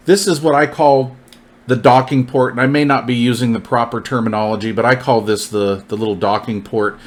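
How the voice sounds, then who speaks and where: A man's voice: mid-range voice, fairly bright tone. Plainspoken man presenting a product overview. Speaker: product reviewer presenting gear. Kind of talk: informal product overview, partly listing features.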